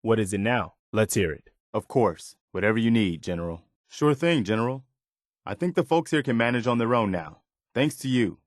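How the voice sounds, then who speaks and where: An actor playing an earnest, loyal militia lieutenant in a video game, sincere, respectful and soldierly. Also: male voice, fairly low pitch, expressive, normal speed, dry recording, clean studio sound, lightly acted. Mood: helpful, respectful. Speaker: companion character in a video game.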